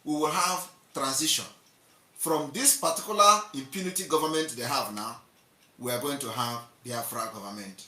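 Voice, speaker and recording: A man's voice: mid-range voice, normal speed, sharp tone, far from the mic. A man with a Nigerian accent giving an impassioned, repetitive political monologue, mocking and emphatic. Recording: roomy echo, off-mic.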